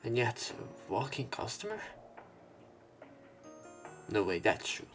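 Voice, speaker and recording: A man's voice: mid-range voice, very animated, slow pace, fairly bright tone, faint music behind. A man hosting a let's play, reading a visual novel's narration and dialogue aloud.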